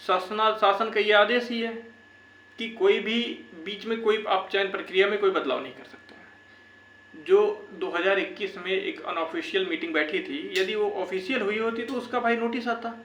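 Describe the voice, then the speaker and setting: A man's voice: high voice, sharp tone. A young man giving informal, earnest advice to viewers as a channel host, repeating his points to urge them on. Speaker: YouTube channel host for exam candidates. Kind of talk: informal advisory talk to viewers. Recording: echoey room with faint background noise.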